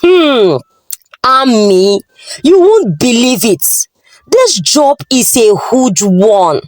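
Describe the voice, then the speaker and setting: A woman with a Nigerian accent voicing an excitable, boastful husband in a folktale, exclaiming dramatically. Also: fairly high pitch, extremely slow, no echo, exaggerated voice. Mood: amazed, jubilant. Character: excitable, boastful husband, adult man, exuberant. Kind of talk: folktale character dialogue.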